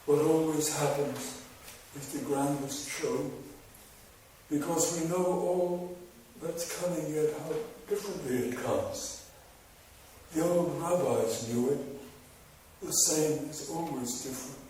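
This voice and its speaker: A man reading his own poems to an audience, with slow, measured delivery and wry asides between poems. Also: a little high, mellow. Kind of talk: poetry reading with spoken asides. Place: large hall before a live audience. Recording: very echoey hall, voice far from mic, background noise.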